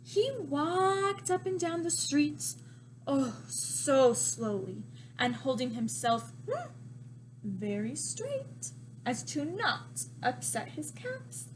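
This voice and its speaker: Lady teacher doing a kids read-aloud, super expressive and lilting, bit of background noise. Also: sits high, slow, poor audio. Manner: expressive, exaggerated emphasis for children. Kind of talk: read-aloud for young children.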